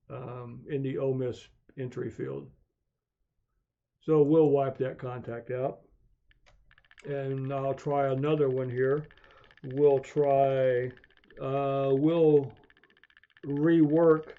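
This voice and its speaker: A man giving an unscripted screencast tutorial, haltingly thinking aloud as he demonstrates software. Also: on the high side. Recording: dull, lo-fi voice recording.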